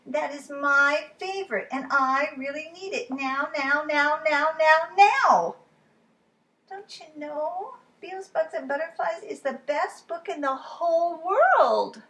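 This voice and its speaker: A high, squealing little girl, as a woman reads her aloud, bursting with insistence over her favourite book. Her voice leaps and swoops, loud and whiny, pleading from one line to the next in a storybook reading. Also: lively intonation, unhurried, hardly any pauses, recorded from a distance, exaggerated voice. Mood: eager. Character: small excitable girl, book-obsessed and insistent.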